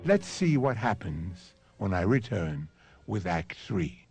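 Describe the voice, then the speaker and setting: A man hosting and narrating a 1970s radio mystery series in a measured, suspenseful, dramatic scripted style. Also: low voice, very animated, a little mellow.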